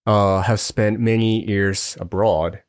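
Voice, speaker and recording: Low-voiced man, thoughtful podcast co-host. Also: steady tone, slow pace, dry recording, clean studio sound. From Japan. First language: Japanese. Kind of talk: casual chat.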